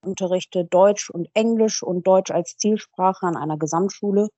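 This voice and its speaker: A woman in her thirties speaks with a mellow, darkish tone, choosing her words thoughtfully and measuredly, with the occasional hesitation. The recording is dry and close, with no room sound at all. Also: medium pitch, normal speed, dry recording, highs cut off. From Germany. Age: 30s. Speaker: guest, author and teacher. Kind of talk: interview conversation.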